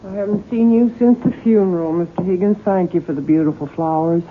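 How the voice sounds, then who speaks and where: A woman's voice: fairly low pitch, brisk, lightly acted. An actress playing a gracious, fluttery widowed mother with a polite manner, in 1970s radio drama style. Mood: flustered, gracious. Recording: tinny, noisy 1970s radio broadcast recording.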